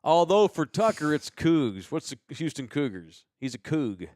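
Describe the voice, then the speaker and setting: An American man hosting a podcast with casual banter and sponsor shout-outs, talking in a slangy, rambling, profane way. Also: on the high side, very animated, moderate pace, dry recording, studio quality. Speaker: host of a live podcast.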